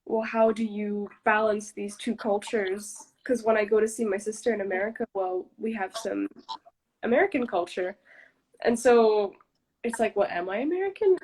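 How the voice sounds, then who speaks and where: A young woman guest on a video call, speaking in a relaxed, reflective way with lots of "like" and "you know.". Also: medium pitch, normal speed, rough recording. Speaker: interview guest. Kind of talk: casual interview chat.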